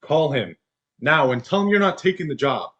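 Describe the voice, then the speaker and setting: A man's voice: fairly high pitch, sing-song, normal speed, a bit bright, highs cut off. A young man acting in a stage play as a controlling, insecure husband who belittles his wife. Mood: dismissive, controlling. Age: young adult. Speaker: student actor.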